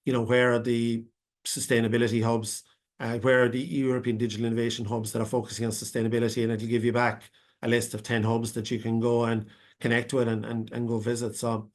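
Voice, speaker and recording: An Irish man giving a long unscripted answer as a panelist on a video call, with many fillers and restarts. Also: mid-range voice. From Ireland. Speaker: webinar panelist.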